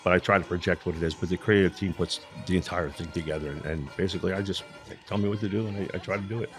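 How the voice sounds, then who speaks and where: Fast-talking older man, loud voice. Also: low voice, steady tone, faint music behind. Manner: loud, projecting voice, repeats words when starting sentences. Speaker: string band captain. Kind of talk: casual interview chat.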